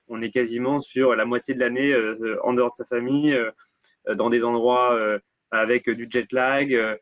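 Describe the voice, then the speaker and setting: A man of medium pitch answers as a guest on a panel. He hesitates and repeats words often. His voice comes through slightly dull and dry. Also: a bit bright, no room sound. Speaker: guest, motorsport professional.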